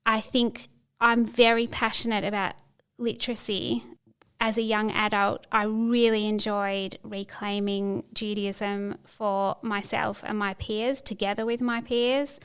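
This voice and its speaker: Middle-aged woman, interview guest, very clean smooth voice, fairly flat delivery, thoughtful with restarts. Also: mid-range voice, measured pace. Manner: thoughtful, searching for words with frequent restarts. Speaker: podcast guest. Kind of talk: reflective interview conversation.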